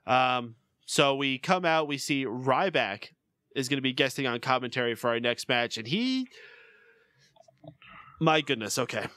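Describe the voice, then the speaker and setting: Man, podcast co-host, chatty play-by-play, tense bright voice, medium pitch. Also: expressive, unhurried, pauses often, no room sound, clean studio sound. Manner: chatty play-by-play recap, laughing often. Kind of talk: casual wrestling show recap.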